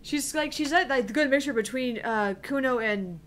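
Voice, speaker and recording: A woman's voice: high voice, normal speed, a bit bright. A woman co-hosting a gaming stream in casual chat. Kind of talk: casual chat during game play.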